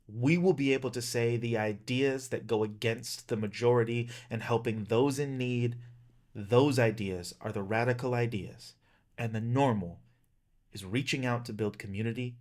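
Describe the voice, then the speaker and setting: A man in his thirties giving an earnest, emphatic personal rallying speech as a vlogger, in plain-spoken language. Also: mid-range voice. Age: 30s. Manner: impassioned, emphatic, plain-spoken with occasional swearing.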